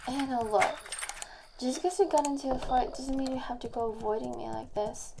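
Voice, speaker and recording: A young woman playing a tipsy, needy, lovesick best friend in an intimate audio roleplay, rambling and halting as if leaving a voicemail. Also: high-pitched, vocal fry. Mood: defensive, pleading. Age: young adult. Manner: drawn-out, rambling, sighing, halting. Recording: faint background noise.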